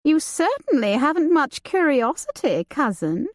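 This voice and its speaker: A flighty young noblewoman, playful and romantic, in a high and lively voice, put on by a woman reading the story aloud. She talks in a lilting, gossipy way. The recording is dry and close. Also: unhurried, no echo. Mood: chatty.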